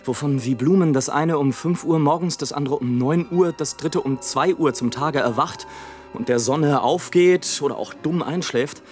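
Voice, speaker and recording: A man's voice: a little high, normal speed, a little mellow, soft background music, close to an everyday voice. An actor playing a bitter, world-weary male balloonist in a German radio play, declaiming in an eloquent, theatrical and ironic way. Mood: musing.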